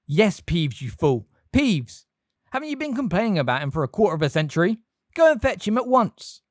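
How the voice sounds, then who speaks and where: A reader voicing a stern older woman who gives sharp, scolding commands. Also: medium pitch, very animated, fast talker, dry recording, clean studio sound, pitched above the performer's own voice, close to an everyday voice. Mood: commanding.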